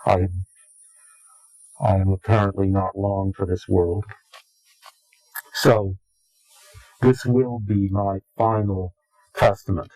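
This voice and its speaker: A man giving interview testimony in a grave, deliberate, halting and portentous way. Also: sits low, little pitch movement, darkish tone, poor audio. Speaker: interviewee, claimed insider. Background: music.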